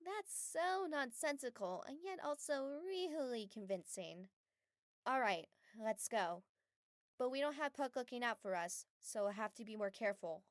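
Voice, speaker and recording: A woman playing an earnest, guarded but kind young mage girl in an anime fan dub, soft and mildly stern. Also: fairly high pitch, animated, a little slow, dry recording, clean studio sound.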